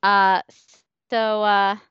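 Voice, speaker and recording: A woman's voice: mid-range voice, flat delivery, very slow, lo-fi sound. A woman co-hosting a fan podcast in casual, amused chat. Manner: giggly, amused. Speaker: podcast co-host.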